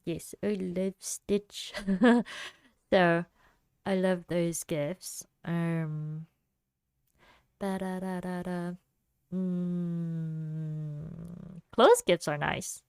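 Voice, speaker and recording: A young woman talks alone in an easygoing, rambling way, giggling often and leaving lots of fillers. Her pace is slow and unhurried, her voice sits a little low, and the sound is dry and close with no room around it. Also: steady tone, a little mellow, smooth, dry recording. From United States. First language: Vietnamese. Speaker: podcast host. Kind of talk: casual solo chat.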